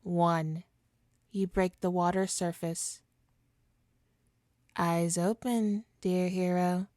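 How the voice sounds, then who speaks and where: A woman playing a suave supervillain hypnotist in an ASMR roleplay, coaxing and possessive, with a soothing, deliberate, mock-polite manner. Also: on the low side, steady tone, darkish tone, no room sound, clean studio sound. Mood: soothing, hypnotic.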